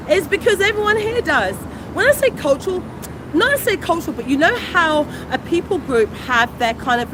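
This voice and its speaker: A British woman in her forties chatting casually and excitedly on a phone livestream while out on a city street, breaking into exclamations between her thoughts. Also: high-pitched. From United Kingdom. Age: 40s. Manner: chatty, exclamatory, warm asides. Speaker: vlogger. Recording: street traffic noise behind. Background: passing traffic.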